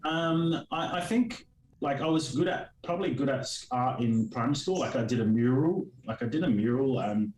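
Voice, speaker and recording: A man's voice: mid-range voice, lively intonation, normal speed, darkish tone. A man in his forties with an Australian accent and Greek Cypriot as his first language, rambling through a casual interview with many fillers and restarts. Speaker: guest comic creator. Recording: roomy, distant mic.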